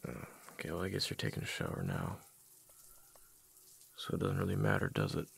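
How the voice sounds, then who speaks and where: A deep-voiced husband, acted by a man for a boyfriend ASMR roleplay, with heavy vocal fry and a husky rasp. He talks casually and haltingly, repeating words as he mutters. His manner is fond but playfully exasperated. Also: very animated, heavily acted. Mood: reluctant, exasperated.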